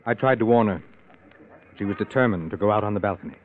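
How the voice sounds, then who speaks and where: An actor plays a somber, self-controlled man in a 1940s radio mystery. His voice is low and his delivery measured and grave. It comes through a thin, tinny old recording. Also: a little hiss or hum, close to an everyday voice. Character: grave, controlled man explaining a deadly accident.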